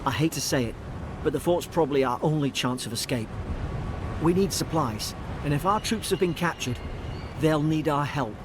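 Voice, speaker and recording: A man's voice: a little high, moderate pace, background noise, natural voice. A man playing a loyal, wary imperial soldier companion in a video game, speaking in an earnest, cautious manner. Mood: determined. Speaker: game character. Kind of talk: video game dialogue acting. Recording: orchestral game music behind the voice. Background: loud music.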